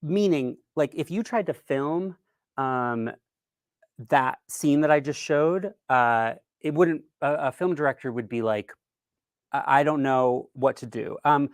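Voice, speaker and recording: Male presenter, mid-pitched, conversational and explanatory, slightly hesitant, close dry recording. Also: unhurried, no echo. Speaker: writer-director giving a keynote talk. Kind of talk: informal instructional presentation. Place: virtual event, speaking from a dry room.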